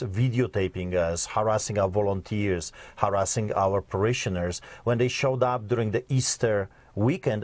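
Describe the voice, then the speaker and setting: Man, lowish voice, earnest, emphatic, dry sound. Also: dry recording. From Poland. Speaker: pastor, interview guest. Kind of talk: interview.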